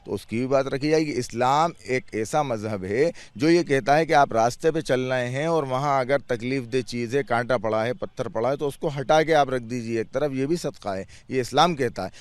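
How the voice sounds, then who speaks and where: A man being interviewed speaks with earnest conviction, circling back to his points and stumbling over the first sounds of words. His voice sits at an ordinary pitch. The sound is dry, with no room echo. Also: smooth. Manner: earnest, repeats phrases, restarts words, pleading tone. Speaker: chairman of a clerics' board, interviewee. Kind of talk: news interview answers.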